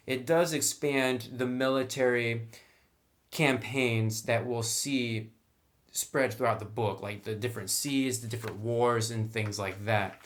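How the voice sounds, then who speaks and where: A man giving a casual, conversational review narration as a reviewer of tabletop game books. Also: medium pitch.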